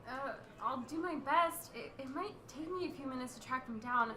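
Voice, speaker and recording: A woman playing an eager, defensive field reporter in a radio play, speaking haltingly. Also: high-pitched, bright, forceful tone. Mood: earnest. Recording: crowd noise around the voice.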